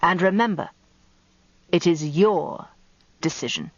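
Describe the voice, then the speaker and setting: A woman voicing a shrewd, theatrical old male barrister in measured courtroom oratory with dramatic pauses, for radio drama. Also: fairly low pitch, no echo, highs cut off. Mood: insistent, persuasive.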